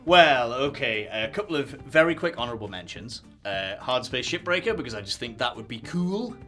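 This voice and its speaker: A man's voice: medium pitch, very animated, pushed and bright, faint music behind. Man laying out an argument. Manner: measured, argues a thesis with asides. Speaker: podcast co-host. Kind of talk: casual podcast chat.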